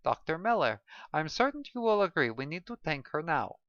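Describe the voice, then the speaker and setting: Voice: medium pitch, very animated, fairly quick, no echo, muffled recording, voice pushed up. Andropov, a Russian-accented doctor, as a narrator puts him on. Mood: reassuring. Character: Russian-accented male doctor, calm, reassuring.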